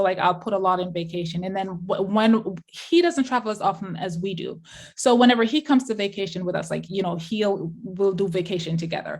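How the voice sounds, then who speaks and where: A middle-aged woman with a Jamaican accent, a guest talking casually and quickly, with many fillers. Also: medium pitch. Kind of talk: casual interview chat.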